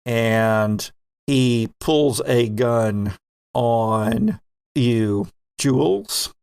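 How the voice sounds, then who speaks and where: A man works as game master, narrating a sci-fi tabletop story with measured drama. His mid-pitched voice has a gravelly fry and sits in a close, dry sound. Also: expressive, darkish tone, dry recording.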